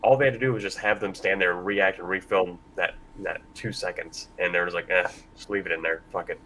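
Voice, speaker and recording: Deep, bright-voiced man moderating a panel. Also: fairly even intonation, quick pace, rough recording. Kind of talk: casual panel discussion. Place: convention panel room. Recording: live panel with background noise.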